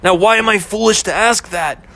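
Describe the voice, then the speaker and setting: A man's voice: sits high, moderate pace, bright, forceful tone. An actor playing a troubled young man who speaks earnestly and hesitantly about his worries. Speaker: boyfriend in second-person story.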